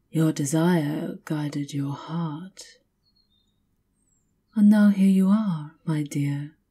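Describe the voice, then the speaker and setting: A low-voiced vampire countess, acted by a woman, aristocratic and possessive. She speaks very slowly in a dark, breathy purr, mocking and seductive, often sinking toward a whisper as in an intimate ASMR roleplay. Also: heavily acted. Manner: slow, theatrical, taunting purr, often dropping to whispers.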